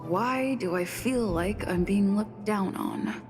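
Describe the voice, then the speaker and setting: A woman's voice: medium pitch, slow pace, over music, lightly acted. A woman voicing a candid young woman in a video game, earnest, plainspoken and defensive. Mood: indignant, insistent.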